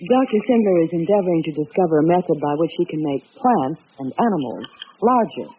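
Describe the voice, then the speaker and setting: An actor playing a poised research scientist in a vintage radio drama. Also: male voice, a little high, expressive, brisk, a little hiss or hum, lightly acted. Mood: composed, explanatory. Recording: tinny old radio recording.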